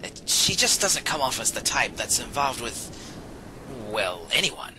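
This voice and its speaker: A man's voice: mid-range voice, big pitch swings, a little slow, noisy background. A man playing a dry, wry mercenary in an audio drama. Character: sardonic male mercenary briefing his team.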